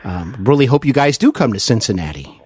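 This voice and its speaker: A husky-voiced man hosts a conversational interview with widely swinging intonation. His phrasing is deliberate and appreciative, and the sound is dry and close. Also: medium pitch, sing-song, darkish tone, no echo. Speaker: podcast host, interviewer.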